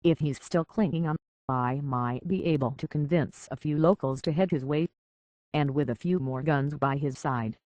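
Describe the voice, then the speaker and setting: A man's voice: fairly high pitch, moderate pace, a little mellow, no echo, lightly acted. An actor playing a plain-spoken, folksy island barkeep in a video game, blunt and concerned for his own people. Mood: hopeful. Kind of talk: video game character dialogue.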